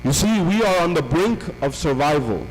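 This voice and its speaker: High-voiced man lecturing in a hall. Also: expressive, normal speed, a little hiss or hum. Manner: rhetorical questions, repetitive emphatic phrasing. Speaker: visiting lecturer. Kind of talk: religious lecture.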